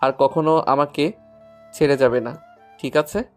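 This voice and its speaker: A man's voice: fairly high pitch, steady tone, measured pace, soft background music, lightly acted. A man playing a proud, betrayed young lover in a romantic audio story. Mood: tender, devoted. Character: angry betrayed young male lover, proud but softening.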